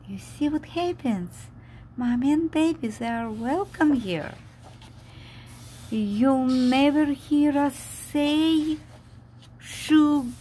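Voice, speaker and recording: Very slow, expressive woman reading aloud. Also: high voice, slight background noise. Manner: slow, dramatic, expressive delivery with simple explanations. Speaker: picture-book reader. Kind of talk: read-aloud storytelling with asides to young listeners. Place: indoors at a table.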